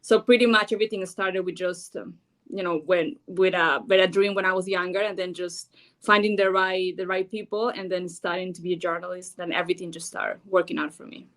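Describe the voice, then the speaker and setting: A woman's voice: on the low side, steady tone, a little fast, fairly bright tone. A woman from Ecuador with an Ecuadorian Spanish accent, a news anchor giving earnest, unscripted interview answers full of fillers. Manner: earnest, thinking aloud with many fillers.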